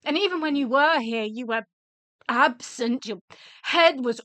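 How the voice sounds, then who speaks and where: A woman's voice: high-pitched, unhurried, a bit bright, no room sound. A woman with a British accent playing a weary, resentful, blunt and sardonic widow in a radio play. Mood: bitter, reproachful.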